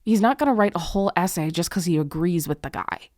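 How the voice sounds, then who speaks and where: A woman playing a snarky but soft-hearted young tutor in an ASMR romance roleplay, halting and sweary, with sighs and a tendency to drop into whispers. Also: on the low side, animated, no echo, studio quality, lightly acted. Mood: exasperated, harsh. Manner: halting, awkward, sweary, often sighing and dropping to whispers. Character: harsh, snarky young female tutor turned flustered, guilty comforter.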